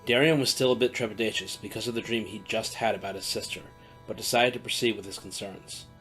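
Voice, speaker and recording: A man narrating an audio drama in an even storytelling way. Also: fairly low pitch, fast, rough recording. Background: faint background music.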